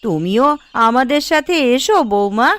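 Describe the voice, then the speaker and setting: A woman voicing a kindly, tired elderly village grandmother in a cartoon, speaking affectionately and slowly. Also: a little high, lively intonation, dry recording, natural voice. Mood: contented, fond. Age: elderly figure. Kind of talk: Bengali family cartoon voice acting.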